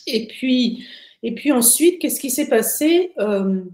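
A woman of sixty-six gives a personal account in conversation, often halting to find her words. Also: high voice, expressive, a little mellow. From Paris, France. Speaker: interview guest, retiree. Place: indoors, plain room on video call. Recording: roomy, echoing video call.

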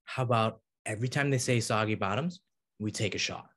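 Man playing a husky, narcissistic date. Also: lowish voice, brisk, fairly bright tone. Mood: flirty. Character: narcissistic, dismissive man in his thirties on a date. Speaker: actor in a table read. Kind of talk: comedy table read acting. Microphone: video call.

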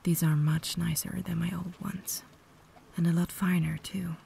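A woman's voice: lowish voice, level tone, a little slow, vocal fry, a little hiss or hum. Woman playing a whispering, cultured vampire lady. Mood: refined, pleasant. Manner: refined, formal, soft-spoken whisper. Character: cultured, caring vampire lady holding back her hunger. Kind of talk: whispered ASMR roleplay.

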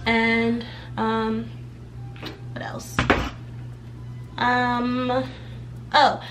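A young woman chatting casually in a vlog update, a bit hoarse from laryngitis and rambling while she does her hair in her bedroom. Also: fairly high pitch, flat delivery, extremely slow, over music. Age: young adult. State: slightly hoarse from recent laryngitis. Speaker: vlogger. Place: bedroom with clothes rack, indoors.

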